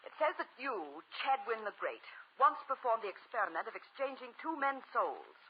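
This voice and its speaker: A woman's voice: fairly high pitch, big pitch swings, moderate pace. An actress playing a wealthy, ruthless young woman in a 1940s radio drama, pressing her demands in an intense, commanding way. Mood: insistent. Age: young adult. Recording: muffled, scratchy 1940s radio recording.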